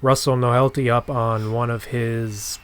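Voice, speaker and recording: A man's voice: medium pitch, level tone, unhurried, crowd noise. A man speaking as a podcast host in a casual interview chat.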